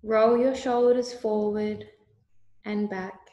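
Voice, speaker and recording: A woman leading a guided meditation with calm, soothing, deliberate instructions. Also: medium pitch, muffled recording. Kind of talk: slow guided meditation narration. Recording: roomy, echoey voice recording.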